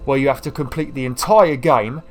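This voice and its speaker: Croaky-voiced man rambling over gameplay. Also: mid-range voice, fairly quick. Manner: rambling, chatty, self-deprecating. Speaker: gaming YouTuber. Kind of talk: casual gameplay commentary.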